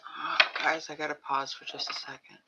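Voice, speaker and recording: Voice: medium pitch, level tone, normal speed, dull audio, very noisy. A person hosting a live stream as a tarot reader, talking casually and bluntly while giving readings. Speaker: psychic tarot reader, livestream host.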